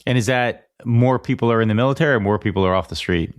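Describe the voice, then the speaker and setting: A man's voice: mid-range voice, quick pace, clear, resonant tone. A man interviewing a guest as a podcast host, in casual, conversational chat.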